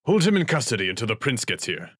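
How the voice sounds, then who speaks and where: A man's voice: medium pitch, sing-song, quick pace, a bit bright, breathy edge, no room sound, clean studio sound. A man playing a stern chief watchman in an audio drama, authoritative, giving clipped orders. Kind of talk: audio drama acting of modernized Shakespeare.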